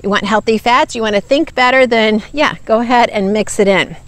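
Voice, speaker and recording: A woman's voice: a little high, a little fast, fairly bright tone, dry recording. Earnest woman explaining food labels outdoors. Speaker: nutrition ministry host. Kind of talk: product review talk to camera. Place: outdoors by woods. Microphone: lapel mic.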